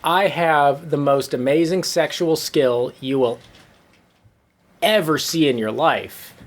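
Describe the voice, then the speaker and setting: Man as eager male contestant, earnest bragging, slightly high voice, comedy storytelling. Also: slow. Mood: boastful. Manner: boastful, earnest. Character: boastful, eager male talent-show contestant.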